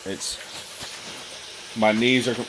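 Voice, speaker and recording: A man's voice: lowish voice, steady tone, extremely slow, noisy background. Joking man in his thirties. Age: 30s. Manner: joking, storytelling banter. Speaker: channel host. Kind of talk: casual livestream chat.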